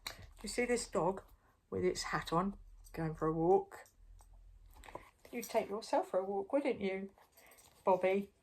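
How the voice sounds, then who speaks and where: An older woman reading a picture book aloud to young children in a playful, expressive way, with chatty asides to the listener. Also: mid-range voice, measured pace, long pauses, poor audio. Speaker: storyteller.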